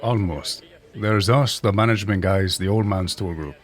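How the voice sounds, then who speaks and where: An actor playing a brash, needling park staffer at a bar in an audio drama, talking in a teasing, colloquial way. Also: male voice, fairly low pitch, moderate pace, lightly acted.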